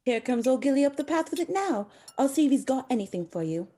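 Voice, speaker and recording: A woman playing a gossipy, sharp-tongued village housekeeper in a radio play, brisk and folksy. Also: high voice, sing-song.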